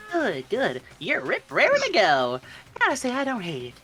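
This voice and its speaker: A woman's voice: fairly high pitch, wildly swooping pitch, a little slow, bright, forceful tone, heavily acted. A woman voicing a gleeful, villainous robotic bear mascot from a video game, teasing and theatrical. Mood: cheerfully menacing. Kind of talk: fan voice-over of a video game. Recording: music behind the voice.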